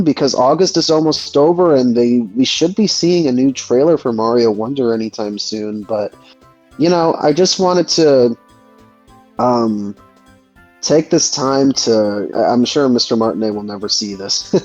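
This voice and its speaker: A dull, lo-fi recording of a man with a mid-pitched voice in casual discussion. He speaks haltingly, thinking aloud, over faint music. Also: a little mellow. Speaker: guest in a gaming discussion, aspiring voice actor. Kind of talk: casual chat.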